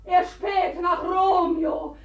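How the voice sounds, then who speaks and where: Distant and echoing, an overwrought tragic heroine in an old radio play. Her voice is extremely high and very slow, full of melodramatic stage anguish. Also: steady tone, muffled recording, very noisy, heavily acted. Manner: declamatory, melodramatic. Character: overwrought tragic Shakespearean reciter, declaiming Juliet. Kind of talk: declamatory 1950s radio play stage recitation. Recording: echoey hall, far from mic.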